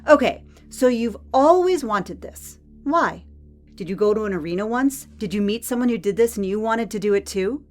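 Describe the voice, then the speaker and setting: A woman's voice: medium pitch, animated, moderate pace, darkish tone, close to an everyday voice. A woman acting a guarded, blunt arena worker. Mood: skeptical, pressing. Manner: blunt, dry, pressing. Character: guarded, weary, probing female arena worker. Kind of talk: audio drama acting. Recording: music under the voice.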